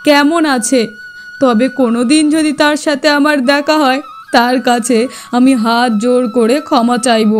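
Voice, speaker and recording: A woman's voice: high voice, steady tone, moderate pace, faint music behind, lightly acted. A woman voicing a remorseful, lamenting king who has lost everything, in melodramatic cartoon fairy-tale style. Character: ruined, remorseful old king lamenting his fate.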